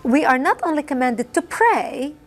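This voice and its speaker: Middle-aged woman from Lebanon, Arabic native speaker, passionate interview testimony, slightly higher voice. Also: big pitch swings, normal speed. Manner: emphatic, repeats phrases for effect. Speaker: interview guest. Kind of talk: impassioned testimony.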